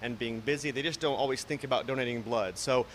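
Earnest man pitching a drive. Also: fast talker, a little hiss or hum, poor audio. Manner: earnest, promotional. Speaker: blood drive spokesman. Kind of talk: live TV interview answers. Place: large indoor mall space.

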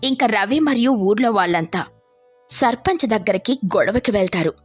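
A woman's voice: mid-range voice, expressive, normal speed, slightly rough, faint music behind. A woman reading scripted narration as the storyteller of a moral tale.

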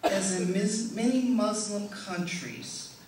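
A middle-aged woman giving a prepared testimonial speech to an audience in a measured, deliberate way. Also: medium pitch, a little mellow. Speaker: speaker giving a presentation. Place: indoor stage in a hall, before an audience. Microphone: stand microphone, heard from a distant camera. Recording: echoey hall, distant camera, faint noise.

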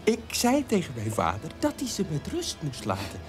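Grown child of strict father, ironic, halting, swooping pitch, radio drama, music behind. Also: huge pitch swings. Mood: animated recollection. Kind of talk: animated radio drama storytelling.

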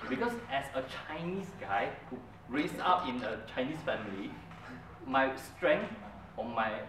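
A man's voice: medium pitch, unhurried. A young man whose first language is Mandarin, giving an earnest prepared speech at a club meeting in a medium-sized indoor room, picked up by a distant camera. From Brunei. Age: young adult. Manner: earnest storytelling, with an animated, emphatic delivery. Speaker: club speaker. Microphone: far camera. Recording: roomy, distant, noisy room recording.

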